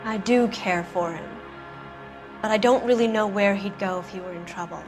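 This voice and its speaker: A woman's voice: mid-range voice, moderate pace, over music, natural voice. A woman playing a flustered, evasive producer's wife. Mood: defensive. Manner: soft-spoken, flustered and defensive. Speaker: witness questioned by police. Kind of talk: 1940s-style game cutscene acting.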